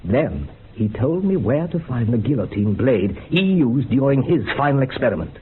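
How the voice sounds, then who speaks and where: Radio horror lead, male actor: unhinged occult experimenter, theatrical and feverish, highly animated pitch, muffled thin recording. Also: moderate pace, natural voice. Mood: eerie relish. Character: unhinged man confessing a grisly occult experiment. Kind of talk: radio horror drama monologue. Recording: muffled phone-like sound with faint hiss.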